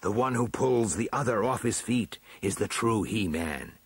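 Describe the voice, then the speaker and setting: A man voicing an aged, stern and suspicious snow dwarf chief elder in a storybook tale, declaiming slowly and imperiously. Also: lowish voice, lively intonation, husky, dry recording. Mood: solemn decree. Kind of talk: storybook character acting.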